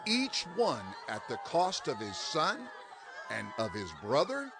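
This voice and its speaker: A man's voice: a little high, wildly swooping pitch, takes it slowly, crowd noise, noisy background, exaggerated voice. A man playing an impassioned, stern prophet and leader, declaiming dramatically in a dramatized audio Bible. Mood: stern, solemn. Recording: faint music behind the voice.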